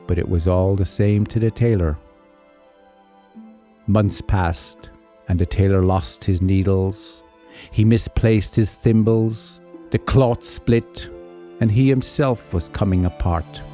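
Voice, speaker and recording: Low mellow male storyteller over music. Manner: measured, theatrical, with deliberate pauses. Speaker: storyteller, narrator. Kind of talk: dramatic scripted folk-tale narration. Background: music under the voice.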